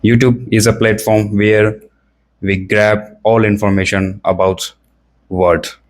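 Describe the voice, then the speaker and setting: Young Indian male learner, Indian English accent, halting, filler-heavy delivery, lower register. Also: flat delivery. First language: Hindi or another Indian language. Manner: hesitant, searching for words, many fillers. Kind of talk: casual practice conversation.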